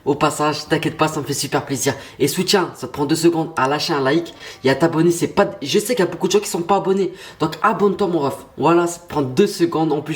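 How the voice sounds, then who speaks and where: A young man, stuffy from a cold, chatting casually and slangily to his viewers in a vlog. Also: a little high. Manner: slangy, pumped-up, talks straight to viewers. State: stuffy nose, has a cold. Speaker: YouTuber.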